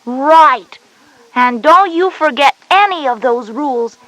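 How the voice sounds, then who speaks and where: Casting: a bright schoolgirl big sister, high voice, patient and bossy-helpful, for a children's educational cassette. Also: animated, takes it slowly, no room sound, heavily acted, poor audio. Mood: patient, instructive. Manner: explanatory, instructive.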